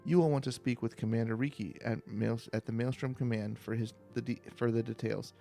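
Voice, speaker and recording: A man narrates a calm video game playthrough, reading the story text aloud. His mid-pitched voice has a creaky, gravelly edge. He keeps a relaxed manner, with occasional stumbles, over faint music. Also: a little fast. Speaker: let's play streamer.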